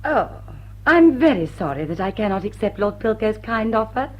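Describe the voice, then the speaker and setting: Poised lady thief, lively and husky. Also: medium pitch, moderate pace. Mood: mock-solemn. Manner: arch, mock-innocent, polished. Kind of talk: period drawing-room radio drama acting.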